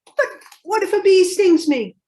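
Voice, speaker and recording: A woman's voice: very high-pitched, lively intonation, measured pace, mellow, pitched above the performer's own voice. A woman voicing an anxious young child who asks plaintive, worried questions in a picture-book read-aloud.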